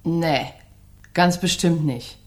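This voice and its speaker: A woman's voice: sits low, slow pace. An actress playing an offended young woman in a radio play, curt and dismissive. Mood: indignant.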